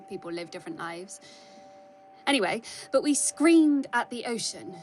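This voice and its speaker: A woman's voice: mid-range voice, background noise. A woman telling a candid personal story in a conversational way, acting out remembered dialogue. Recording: music behind the voice. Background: music.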